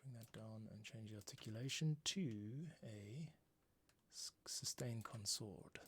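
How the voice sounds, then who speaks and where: A man composing on a livestream, muttering casual, halting commentary to himself as he works. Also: on the low side, fairly bright tone, a little hiss or hum. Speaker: music composer livestreaming his work. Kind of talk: casual thinking-aloud work commentary. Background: instrumental music playback.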